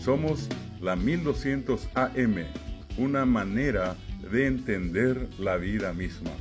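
A man's voice: on the low side, lively intonation, takes it slowly. A man voicing a scripted radio station promo. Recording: announcement over guitar music.

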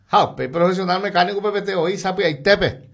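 A Paraguayan man on local radio, slipping between Spanish and Guarani as he rattles off ads. His voice sits high for a man and has a gravelly edge, with the volume rising and falling from phrase to phrase. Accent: Paraguayan Spanish, mixing in Guarani. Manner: rapid, sing-song pitch and loudness swings, listing items. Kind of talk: live radio ad reading.

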